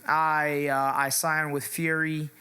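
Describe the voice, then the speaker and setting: A young man from Ecuador telling his story as a podcast guest, in a relaxed, laid-back way with many fillers. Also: on the high side, slow pace, smooth. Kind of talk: casual interview chat.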